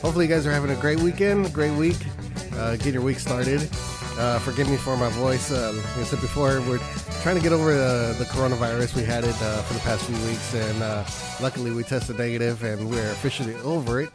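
A radio host whose voice comes out hoarse and raspy, booming through his introductions in an announcer's manner. Music plays clearly behind him in the studio. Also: brisk. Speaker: podcast host. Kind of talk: radio podcast interview.

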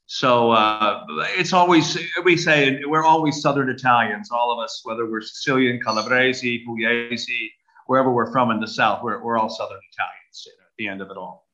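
Older Brooklyn-accented man, bright voice. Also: mid-range voice. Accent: New York (Brooklyn). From United States. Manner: measured, hesitant with many "uh" fillers. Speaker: archbishop guest. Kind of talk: interview conversation. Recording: dull lo-fi audio.

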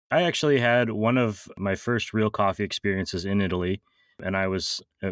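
A man's voice: fairly low pitch, a bit bright, no echo, studio quality. A man hosting an interview, asking questions casually with hesitations and restarts. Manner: hesitant, with filler ums and restarts. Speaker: interview host.

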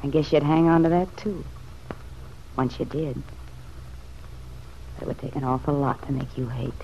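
A woman's voice: rumbling low, steady tone, normal speed, gravelly. An actress in an old radio drama playing a world-weary, slangy, street-wise woman who speaks with raw emotion. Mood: wistful. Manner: slangy, emotional, hushed then flaring. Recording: dull, noisy vintage radio recording.

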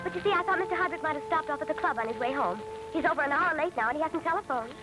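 An actress playing an anxious, secretive wife with breathless, dramatic delivery in old radio drama style. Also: female voice, sits high, animated, racing, over loud music. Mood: worried. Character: anxious woman secretly covering up her husband's death. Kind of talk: 1940s radio acting.

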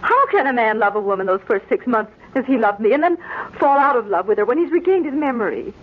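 A woman's voice: a little high, expressive, quick pace, breathy edge. An American woman playing a devoted, wounded young wife in an old-time radio drama, tender and melodramatic in manner. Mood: hurt, plaintive. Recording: dull old radio recording, faint noise.

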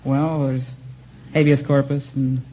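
A man's voice: mid-range voice, level tone, unhurried. An earnest, polite American man in his twenties from Ohio, answering a quiz host straightforwardly. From Ohio, United States. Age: 20s. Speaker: quiz contestant, law student. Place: radio studio with audience. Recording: muffled old radio, faint noise.

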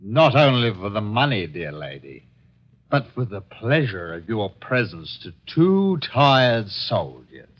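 An actor playing a roguish British army deserter and hired killer in an old radio drama, mock-courtly and archaic in speech. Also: male voice, fairly low pitch, very animated, slow, full character voice. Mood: smooth menace. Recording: dull lo-fi old radio recording.

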